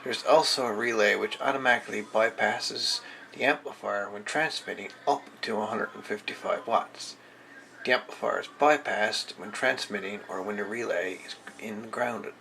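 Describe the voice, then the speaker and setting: A man giving a casual, unscripted product demonstration as an equipment reviewer, speaking haltingly as he searches for words. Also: on the low side, creaky, bright, forceful tone, a little hiss or hum, rough recording. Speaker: amateur radio equipment reviewer.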